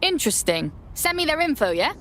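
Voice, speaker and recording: An actress playing a confident, streetwise resistance hacker in a video game, with a London accent and slangy, casual delivery. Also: female voice, high voice, big pitch swings, a little fast, fairly bright tone, a little hiss or hum. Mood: cool, assured. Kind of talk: conversational video game dialogue.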